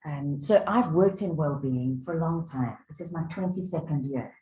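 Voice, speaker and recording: A woman's voice: sits low, moderate pace, dark tone. A woman in her forties with a South African accent, giving a conversational wellbeing keynote to a conference of doctors and asking the audience questions. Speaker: wellbeing speaker. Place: conference hall. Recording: echoey hall, faint ambience.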